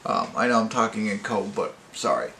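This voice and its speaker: A man hosting a casual, unscripted movie review, talking in a halting, rambling way and often correcting himself. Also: on the low side, expressive, pushed and bright. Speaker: movie review channel host. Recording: echoey room with faint noise.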